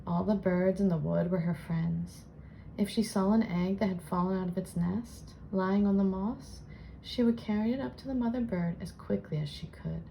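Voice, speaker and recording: A woman reading a children's storybook aloud in an expressive storytelling style, as host. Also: mid-range voice, normal speed, creaky, slight background noise, rough recording. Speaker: children's book reader and channel host.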